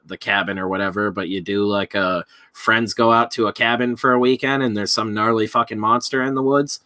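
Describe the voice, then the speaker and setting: A man with a forceful, bright voice talks casually as a live stream co-host. He rambles through his thoughts with loose, profane phrasing, and the recording is dry and close, with little room sound. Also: on the low side, no echo. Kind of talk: casual chat about films.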